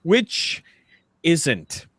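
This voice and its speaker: A man's voice: a little high, wildly swooping pitch, extremely slow, fairly bright tone, husky, no echo, studio quality. A man co-hosting a podcast in casual comedic banter, speaking in a somewhat hesitant, stammering way. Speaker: podcast co-host.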